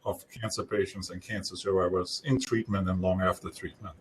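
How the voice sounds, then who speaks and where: Middle-aged austrian guy talking through his work, calm steady delivery, accent clear. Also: low voice. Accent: Austrian German. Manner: explanatory, measured, some restarts. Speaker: guest speaker. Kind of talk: live-streamed talk.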